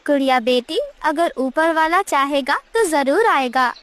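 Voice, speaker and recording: A woman voicing a gentle, anxious mother bird with a tender, pleading manner. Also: sits high, animated, a little slow, no room sound. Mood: tender, worried.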